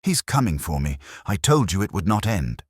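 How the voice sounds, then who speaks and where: A man narrating plays a frightened, guilt-ridden gentleman in an audiobook mystery. The voice is low and husky, pleading with desperation and animated pitch. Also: moderate pace, dry recording, studio quality, exaggerated voice. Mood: desperate.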